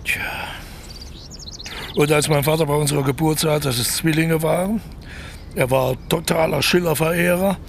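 Wry, gravel-edged failed actor turned thief. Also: male voice, on the high side, steady tone, moderate pace, long pauses, a little hiss or hum. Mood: reflective, rueful. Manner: wry, reticent, resigned. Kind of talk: naturalistic German radio crime drama acting.

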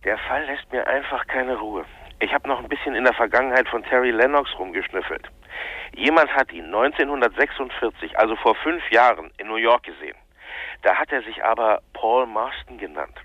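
An actor playing a brisk, matter-of-fact male newspaper reporter in a radio drama, heard through a telephone. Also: mid-range voice, animated, no echo. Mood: brisk, intent. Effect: telephone filter. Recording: narrow tinny phone line, dry.

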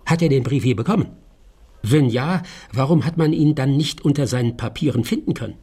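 An actor playing a brilliant, intense detective in a German radio drama, brooding and commanding, with a raspy voice and deliberate, questioning delivery. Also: male voice, medium pitch, dark tone, natural voice. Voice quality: raspy, gravelly.

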